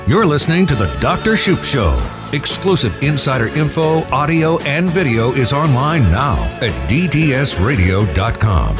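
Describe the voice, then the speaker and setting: A man's voice: mid-range voice, sing-song, moderate pace. A man announcing a radio show and reading a scripted promo in a persuasive ad delivery. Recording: promo with music bed.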